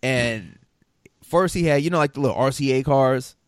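A man's voice: medium pitch, normal speed, smooth, dry recording, clean studio sound. A man co-hosting a podcast in casual chat, telling stories in loose slang with lots of fillers. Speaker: podcast co-host.

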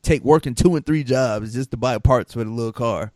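A man's voice: mid-range voice, a little fast, a little mellow, no echo, studio quality. Slangy laid-back male podcast co-host. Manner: loose slangy storytelling, lots of fillers. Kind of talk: casual chat.

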